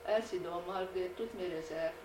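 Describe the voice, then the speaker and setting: A woman whose first language is Hungarian, reading a measured academic lecture with frequent hesitations. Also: lowish voice, recorded from a distance. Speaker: conference speaker. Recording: echoey hall recorded from afar, noisy.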